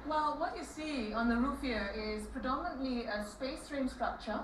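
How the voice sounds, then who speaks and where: A woman's voice: high voice, normal speed. Woman explaining, echoey and noisy. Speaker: architect interviewee. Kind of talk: explaining in an interview. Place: open stadium stands. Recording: echoey, slightly distant, clear ambient noise.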